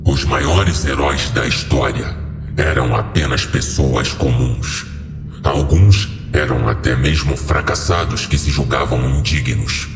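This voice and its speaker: A man voicing an ancient fallen angel, solemn and grandiose, in a slow audio horror story delivery with cavernous reverb. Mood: wise, calm. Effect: cavernous echo and reverb on a lowered voice. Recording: echoing hall reverb over music.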